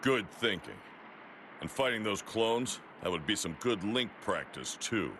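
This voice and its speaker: An actor playing a stern alien warrior mentor in an anime video game, firm and dryly sardonic. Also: male voice, fairly low pitch, animated, measured pace, a bit bright. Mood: measured. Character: stern, dry, deep-voiced male alien warrior mentor. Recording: noisy outdoor-like ambience behind.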